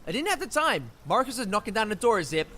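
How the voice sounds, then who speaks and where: A man voicing a cocky, excitable young street hooligan who talks in slang for an audiobook. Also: high-pitched, fast talker, slight background noise, pitched above the performer's own voice, exaggerated voice. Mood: defensive. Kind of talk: audiobook character voice.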